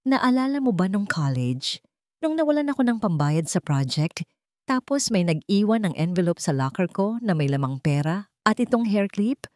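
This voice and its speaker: Shy young mother, audio love story, read by a woman narrator; gentle, halting delivery with lively intonation, dry sound. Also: medium pitch, dry recording, natural voice. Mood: wistful. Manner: hesitant, soft-spoken.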